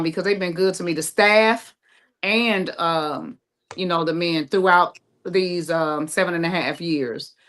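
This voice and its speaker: A woman guest on a podcast from Ohio, talking in a rambling, halting way with many fillers. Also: lowish voice, fairly bright tone. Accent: American English, Ohio. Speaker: guest, playwright. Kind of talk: casual interview talk.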